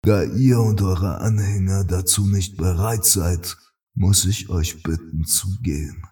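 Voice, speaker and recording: An actor playing a stern, commanding male cult leader in a fan audio drama, speaking slowly and solemnly with a husky voice. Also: deep voice, steady tone, mellow, recorded from a distance, faint music behind. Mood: stern, calm. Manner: slow, solemn, declamatory.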